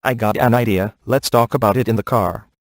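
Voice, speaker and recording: Actor as a wisecracking deadpan ghost hunter, dry recording. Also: male voice, fairly low pitch, fairly quick, no room sound, close to an everyday voice. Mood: sardonic. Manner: deadpan, sarcastic. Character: wisecracking, smug male ghost hunter in a comic adventure. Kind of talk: video game voice acting.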